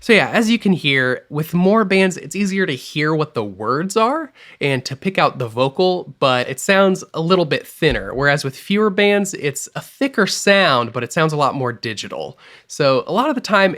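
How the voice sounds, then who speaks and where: A man teaching music production in a screen-recorded tutorial, explaining each step clearly and with upbeat energy. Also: a little high, a bit bright.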